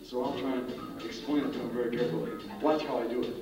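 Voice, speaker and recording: A man's voice: medium pitch, fairly even intonation, quick pace. A man performing a live comedy sketch with a band, talking in a brash, streetwise, mock-exasperated way. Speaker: stage performer. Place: live concert stage. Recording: echoey live hall, distant mic, muffled, music behind.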